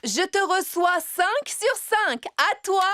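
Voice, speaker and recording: An actress playing a brisk, encouraging squirrel police officer in a children's cartoon, instructing children. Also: female voice, extremely high pitch, animated, bright, forceful tone, no room sound, clean studio sound, exaggerated voice. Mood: brisk, instructive. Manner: instructive, clearly addressing children.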